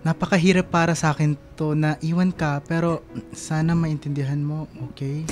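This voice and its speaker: A woman's voice: low voice, flat delivery, normal speed, darkish tone, faint music behind, lightly acted. A woman playing an easygoing, affectionate young partner, playful and tender in a radio drama. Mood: tender, apologetic.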